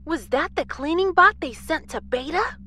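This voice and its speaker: A woman's voice: very high-pitched, animated, normal speed, pushed and bright, heavily acted. A woman playing a chatty, agreeable moonbase crew member in a sci-fi audio drama.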